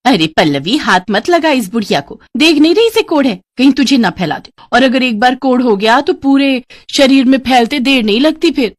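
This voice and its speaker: A woman's voice: lowish voice, animated, slow pace, no echo. Lady doing a scheming stepmom from a kids story, drags out her words all syrupy and greedy, dry close sound. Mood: alarmed, scornful. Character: cunning greedy stepmother, middle-aged, fawning and scolding.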